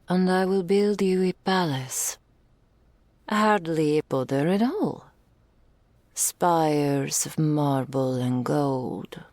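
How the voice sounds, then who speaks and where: A refined, hoarding dragon matriarch, acted by a woman for an intimate ASMR roleplay. She speaks slowly and grandly in a low, creaky voice, doting and possessive. Phrases soften into whispers, all very close and dry. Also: dry recording, full character voice. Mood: coaxing, then possessive, seductive. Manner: grandiloquent, slow, affectionate, with breathy whispered phrases.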